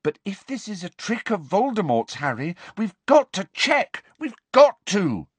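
A man's voice: high-pitched, wildly swooping pitch, unhurried, husky, no room sound, voice pushed up, full character voice. A man voicing an anxious, insistent, clever teenage girl in an audiobook, pleading and precise. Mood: desperate, pleading. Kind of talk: audiobook character voice.

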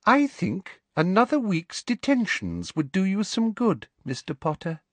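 Man doing a smooth, condescending middle-aged witch, pitched high, lively, husky edge. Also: unhurried, no room sound, exaggerated voice. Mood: silky. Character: smug sickly-sweet female ministry teacher, middle-aged.

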